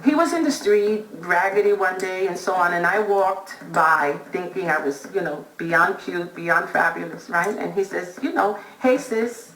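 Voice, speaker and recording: A woman's voice: lowish voice, unhurried, few pauses, fairly bright tone. A woman vividly recounting a family story in a documentary interview. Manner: vivid storyteller, acts out quotes. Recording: roomy sound with music behind.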